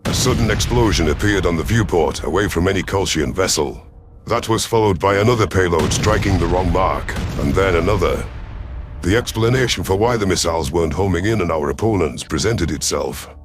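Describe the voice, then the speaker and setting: A man's voice: low voice, brisk. A man narrating a science fiction story in the first person, in a dramatic scripted reading style. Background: background music.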